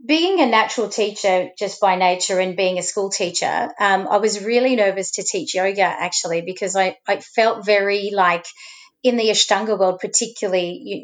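A woman's voice: mid-range voice, poor audio. An Australian woman in her thirties from Sydney, a yoga teacher chatting as an interview guest with frequent restarts and "you know". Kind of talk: casual interview conversation.

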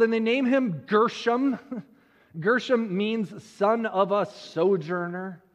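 A man preaching a sermon, telling a Bible story conversationally with dramatic pauses and rhetorical questions. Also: extremely high pitch. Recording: muffled audio with long hall reverb.

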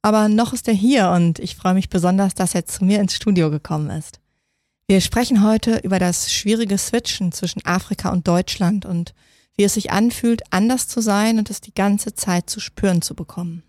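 Woman, podcast host, clear and friendly, dry close studio sound. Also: medium pitch, darkish tone, dry recording. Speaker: podcast host and integration course teacher. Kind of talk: podcast interview.

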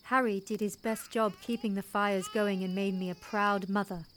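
A woman performs a young frontier mother narrating an audio drama in the first person. Her voice is bright and sharp, resolute and intense in its dramatic storytelling. Faint noise sits behind her. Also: medium pitch, fairly even intonation, normal speed, lightly acted. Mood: subdued, resolute. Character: resolute young settler mother recounting a wild dog attack.

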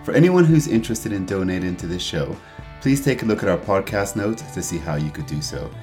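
A man's voice: low voice, lively intonation, a little fast, darkish tone, faint music behind. A man hosting a travel podcast, introducing the show and interviewing his guest.

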